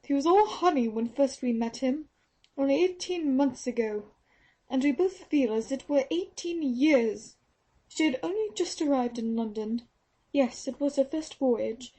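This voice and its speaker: A woman's voice: high-pitched, animated, a little slow, mellow, pitched above the performer's own voice, low-quality recording. A woman reading an audiobook, voicing a stern, taciturn Australian nurse who is fiercely protective of her mistress. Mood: bitter, protective. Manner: indignant, protective. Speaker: audiobook reader.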